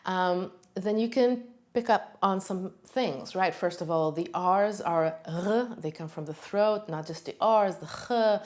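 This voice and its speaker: A Hebrew teacher with an Israeli accent, a native Hebrew speaker, giving an upbeat, emphatic video lesson. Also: female voice, a little slow. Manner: upbeat, emphatic, talks with lively pitch jumps on key words.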